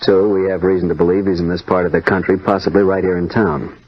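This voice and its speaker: An actor playing a matter-of-fact homicide detective in a 1950s radio drama, talking in a clipped, deliberate way. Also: male voice, low-pitched, little pitch movement, breathy edge, soft background music. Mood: businesslike. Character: matter-of-fact, hard-boiled homicide police sergeant. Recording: dull old radio recording.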